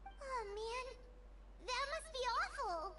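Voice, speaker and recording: Tiny floating fairy sidekick: very high, squeaky, swooping delivery, talkative game character over loud music. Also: female voice, takes it slowly, heavily acted. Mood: sympathetic, eager. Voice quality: squeaky, childlike. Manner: chatty, expressive, reactive. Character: small excitable floating fairy companion, childlike and talkative. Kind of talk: video game voice acting.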